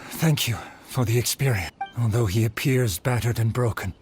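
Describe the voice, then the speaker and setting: A gravely courteous heir to a swordsmithing line , acted by a man, in an average-pitched male voice. His delivery is formal and restrained, in fantasy video game style. Faint music plays underneath. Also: normal speed, natural voice. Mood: respectful. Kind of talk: video game dialogue acting.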